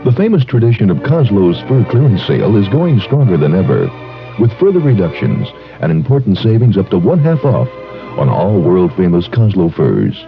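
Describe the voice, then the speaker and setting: An American man reading a scripted radio advertisement in a measured, formal sales style. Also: on the low side, very animated. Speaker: commercial announcer. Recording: dull 1970s radio broadcast, music behind.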